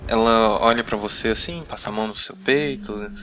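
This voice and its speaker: A man with a Brazilian accent and a fairly deep voice narrates a tabletop game. He improvises casually, hesitating and using colloquial tags, while music plays clearly behind him. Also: fairly quick, fairly bright tone, lo-fi sound. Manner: hesitant, colloquial, full of tag words. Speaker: game master. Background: music bed.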